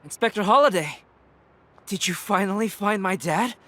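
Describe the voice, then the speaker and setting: A man's voice: high voice, lively intonation, moderate pace, fairly bright tone. A man playing an earnest young detective in a video game, casual and a little dry in manner. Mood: brisk. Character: earnest young male detective searching for his missing father. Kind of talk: video game cutscene acting.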